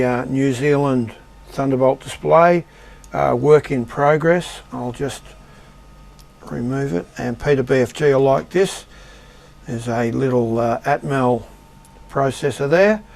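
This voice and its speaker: A man giving an unscripted show-and-tell of his projects as an amateur radio operator, speaking in a halting, matter-of-fact way. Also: medium pitch, darkish tone. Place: indoor home radio shack.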